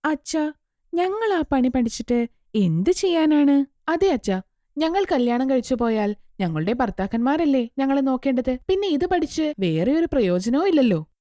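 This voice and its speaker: A woman's voice: high-pitched, sing-song, normal speed, warm tone, no room sound, studio quality. A woman voicing outspoken, questioning young daughters of a goldsmith in a children's story. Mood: questioning, reluctant. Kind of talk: children's story character acting.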